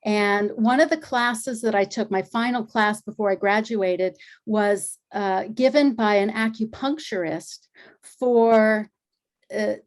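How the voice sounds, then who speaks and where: A middle-aged woman, an energy healer and acupuncturist, telling her story in a relaxed interview and acting out the dialogue she quotes. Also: mid-range voice, takes it slowly.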